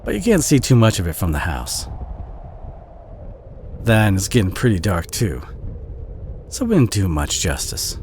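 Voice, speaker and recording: A man with a Southern US accent playing a kind, protective, bashful country boy in an audio roleplay, speaking in a gentle, folksy, hesitant way with a husky voice. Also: fairly low pitch, wildly swooping pitch, measured pace, long pauses, a little hiss or hum, exaggerated voice. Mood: easygoing. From southern United States. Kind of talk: audio roleplay acting, addressed to the listener.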